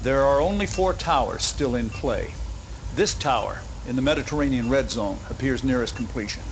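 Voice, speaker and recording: A man's voice: mid-range voice, lively intonation, normal speed, a little hiss or hum, natural voice, rough recording. A man playing a businesslike military intelligence officer giving a formal, factual briefing in a game cutscene. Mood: businesslike, focused. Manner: formal, matter-of-fact.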